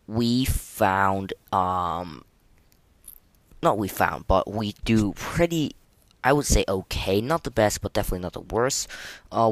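A man hosting a casual, unscripted gaming podcast, speaking haltingly and often repeating and restarting his phrases. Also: lowish voice, no echo. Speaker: podcast host.

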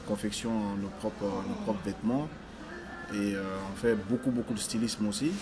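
A man born in Africa, a stylist talking as an interview guest, halting and restarting his phrases as he searches for words. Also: fairly low pitch, fairly even intonation, music in the background. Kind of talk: casual interview conversation.

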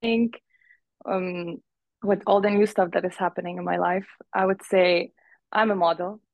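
A woman's voice: on the low side, steady tone, moderate pace, vocal fry, no room sound, highs cut off. A young woman from Uzbekistan, a fashion model guest, telling stories in a casual interview and laughing often as she talks. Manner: chatty storyteller who laughs often and acts out quoted voices.

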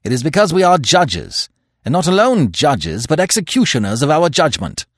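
A man voicing a grave, stern leader of vigilante executioners in an audiobook, solemn and measured. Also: a little high, very animated, no room sound, studio quality, pitched above the performer's own voice. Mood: harsh.

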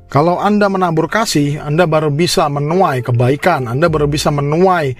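An Indonesian man speaks in a slightly high-set voice with music behind him. He talks like a preacher giving practical advice, conversational yet instructive. He drives his points home by repeating key phrases. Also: moderate pace. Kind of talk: informal sermon, direct advice to listeners.

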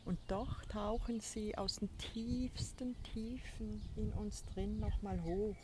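A woman hosting a spiritual podcast, talking in a soft, breathy, reflective way from a balcony with birds behind her. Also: medium pitch, steady tone, takes it slowly. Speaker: podcast host. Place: outdoors on a balcony. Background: birds and a crow.

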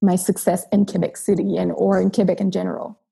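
A woman's voice: on the low side, little pitch movement, brisk, creaky, dark tone, husky. A woman from Haiti whose first language is Haitian Creole, telling her story as a chiropractor guest in an earnest, reflective interview. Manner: earnest, reflective, with frequent restarts.

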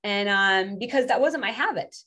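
A woman's voice: mid-range voice, expressive, normal speed, sharp tone. Earnest woman whose first language is French. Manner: earnest, rambling, many restarts. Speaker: podcast guest, language instructor. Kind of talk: casual interview chat.

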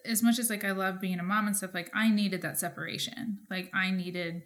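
A woman's voice: mid-range voice, fairly even intonation, brisk, fairly bright tone. Casual American woman chatting informally. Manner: informal, frequent "like" as filler. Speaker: podcast co-host and market gardener. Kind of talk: casual conversational chat.

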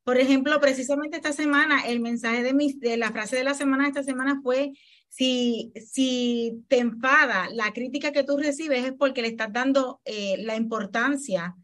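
High-voiced Puerto Rican woman. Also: steady tone, normal speed, a bit bright. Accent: Puerto Rican Spanish. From Puerto Rico. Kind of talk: casual podcast chat.

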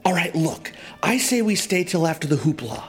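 A man plays a wary, sensible leader in a comic audio drama. His voice is medium in pitch with lively inflection. Music runs beneath his lines. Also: moderate pace. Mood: wary, urgent.

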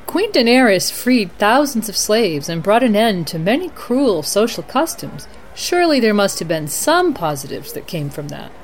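A woman hosting as a news anchor, reading a scripted bulletin in a measured, formal newsreader delivery. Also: medium pitch, very animated, a little hiss or hum. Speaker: podcast host as news anchor. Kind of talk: scripted mock news broadcast.

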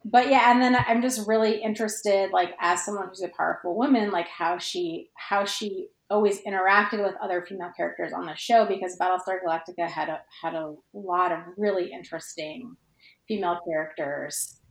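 A woman's voice: on the low side, steady tone, a little fast, creaky. A woman speaking as a guest writer in a casual podcast discussion, thoughtful and hesitant as she circles toward the right phrasing. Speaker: guest fan fiction writer. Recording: roomy, echoey sound.

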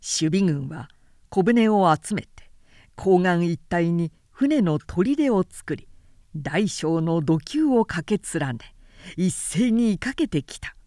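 A woman narrator reads a historical tale at a slow pace. Her pitch moves widely in a dramatic, measured way. The sound is close and dry. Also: lively intonation, dark tone, dry recording. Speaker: narrator reading literature aloud. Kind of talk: scripted narration of a historical novel.

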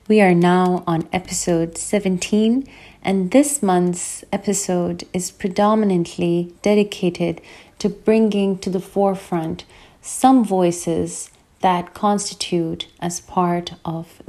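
Mellow-voiced woman radio host. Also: fairly low pitch. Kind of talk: scripted show introduction.

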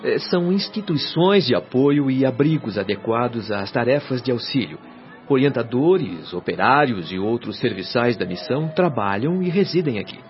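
An actor playing a devoted, solemn resident of a spirit colony, formal and didactic in radio soap style. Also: male voice, medium pitch, big pitch swings, moderate pace, lightly acted. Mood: instructive. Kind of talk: Brazilian radio soap acting. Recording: dull radio drama with music behind.

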